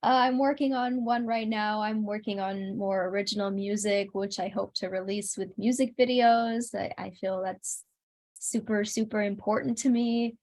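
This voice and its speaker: A woman's voice: mid-range voice, fairly even intonation, normal speed, darkish tone. A woman chatting casually as an interview guest, upbeat and gracious.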